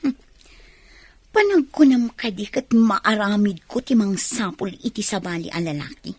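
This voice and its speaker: A woman's voice: mid-range voice, animated, a little slow, darkish tone, slightly rough, no echo. A woman with an Ilocano Filipino accent playing a defiant young wife with secret plans in a radio drama. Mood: defensive.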